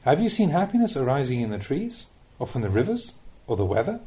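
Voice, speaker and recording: Mellow man reading teachings, lively intonation. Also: mid-range voice, brisk. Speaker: narrator reading Buddhist teachings. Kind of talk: audiobook reading of a spiritual talk.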